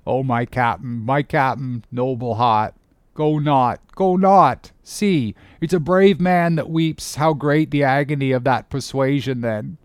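A man's voice: medium pitch, a little slow, clear, resonant tone, no room sound, studio quality, lightly acted. A man playing a loyal, anguished first mate of a ship, speaking in solemn, pleading, archaic phrases.

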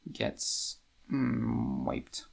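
A man co-hosting a podcast, talking casually and haltingly in a book discussion. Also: mid-range voice, big pitch swings, gravelly, breathy edge. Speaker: podcast co-host.

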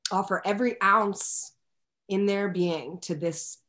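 A woman with a lower, bigger voice, talking candidly and animatedly as a guest in a casual interview, with many "like" fillers. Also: slow pace, fairly bright tone. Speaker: guest actor.

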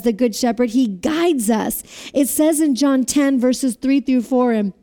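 A woman preaching a sermon with a loud voice, asking listeners to repeat phrases after her. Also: high-pitched. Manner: asks the audience to repeat phrases.

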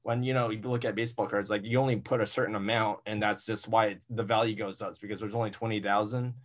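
A man in his forties, a stand-up comedian, telling stories in a relaxed, rambling way over a video call from a small closet room. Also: fairly low pitch, fast. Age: 40s. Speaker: stand-up comedian, podcast guest. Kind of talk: casual interview chat. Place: small converted walk-in closet.

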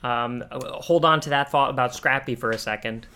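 A man co-hosting a podcast, thinking aloud in halting, casual commentary on pop culture. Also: medium pitch, pushed and bright, clear, resonant tone. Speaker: podcast co-host.

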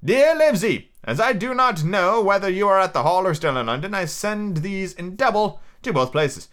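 A man voicing an excited gentleman squire reading out his letter in a grandiose, old-fashioned, theatrical manner. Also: high voice, normal speed, clear, resonant tone, pitched above the performer's own voice. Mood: excited, boastful.

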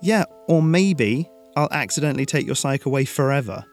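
A man's voice: medium pitch, lively intonation, moderate pace, soft background music, pitched above the performer's own voice, natural voice. A man voicing an anxious, frustrated teenage boy in a story. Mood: worried.

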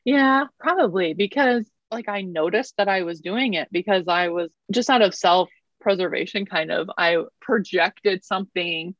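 A woman's voice: medium pitch, normal speed, no room sound, muffled recording. A woman in her thirties from Canada, chatting as an interview guest with a self-deprecating, laughing manner. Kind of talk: casual interview chat.

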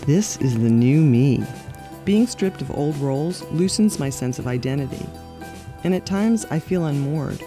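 A middle-aged woman hosting her podcast, narrating in a reflective, measured and candid way. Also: low-pitched, lively intonation, over music. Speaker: podcast host. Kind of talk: scripted personal narration.

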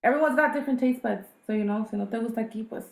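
A woman's voice: on the high side, very fast, vocal fry, echoey room. A woman who co-owns a restaurant, speaking bluntly and matter-of-factly in a casual interview. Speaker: restaurant co-owner.

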